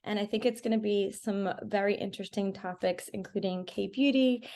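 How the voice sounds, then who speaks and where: A woman hosts a relaxed interview chat in a medium-pitched voice. She sounds enthusiastic and conversational as she introduces ideas and puts questions to a guest. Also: normal speed. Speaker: interview show host.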